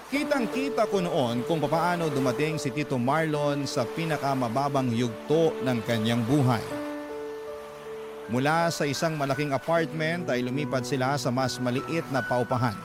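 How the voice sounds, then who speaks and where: A man giving earnest, sorrowful scripted narration of a first-person radio drama story. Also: mid-range voice. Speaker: story narrator reading a letter sender's account.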